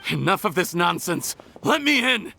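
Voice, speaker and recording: A desperate, self-pitying crook in a dramatic game scene. The voice is bright and tense, swinging widely in pitch with sardonic scorn, with music behind. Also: male voice, big pitch swings, normal speed, creaky, exaggerated voice. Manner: sardonic, theatrical. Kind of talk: dramatic video game dialogue.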